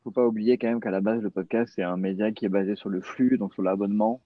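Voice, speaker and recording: Dull-sounding recording of an even-toned man. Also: lowish voice, fast, dry recording. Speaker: podcast producer, panel guest. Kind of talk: panel discussion, explanatory.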